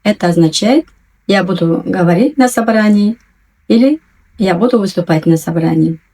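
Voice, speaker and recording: A woman teaching a language lesson, patiently and clearly enunciating, repeating each new word twice. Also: mid-range voice, normal speed, warm tone. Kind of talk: slow, careful language lesson.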